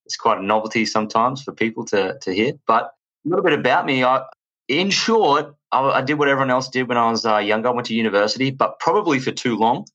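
A man in his thirties with an Australian accent, a podcast guest telling stories in an energetic, rambling way. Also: medium pitch, fast, highs cut off. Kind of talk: casual chat.

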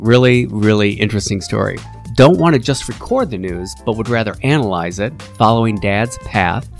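A man's voice: lowish voice, vocal fry. A man hosting an interview podcast over a remote call, bantering with self-deprecating jokes. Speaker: podcast host.

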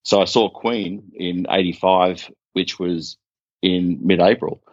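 A man in his fifties with an Australian accent, a guest talking relaxed on the phone and trailing off. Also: low voice, slow pace, breathy edge, no echo. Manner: relaxed, laughs easily, trails off. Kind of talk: casual chat. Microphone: phone line. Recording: dull dry phone line.

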